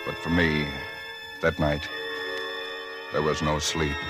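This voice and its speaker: A deep-voiced, haunted radio husband. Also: male voice, completely flat delivery, takes it slowly. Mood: horrified. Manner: hesitant, grave, dramatic. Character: uneasy newlywed husband recounting a horror, haunted and restrained. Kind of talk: 1940s radio suspense acting and narration. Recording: old 1940s radio drama recording with music.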